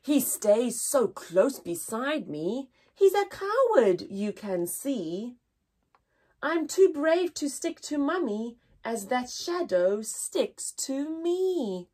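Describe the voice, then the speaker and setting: Slow, high, very animated woman storyteller. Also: husky. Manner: expressive, exaggerated wonder, sing-song storytelling for toddlers. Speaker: children's story-time teacher and host. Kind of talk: animated read-aloud for young children.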